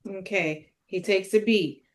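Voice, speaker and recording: A woman's voice: medium pitch, a little slow. A woman narrates a drama script at a table read. She reads out stage directions in a mid-range voice, as scripted narration.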